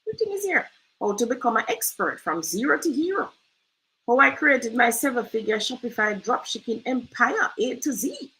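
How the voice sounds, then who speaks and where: A woman's voice: on the high side, big pitch swings, moderate pace, pushed and bright, low-quality recording. A Jamaican woman hosting a casual screen-share tutorial, chatty and loose, often asking "right?". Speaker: host.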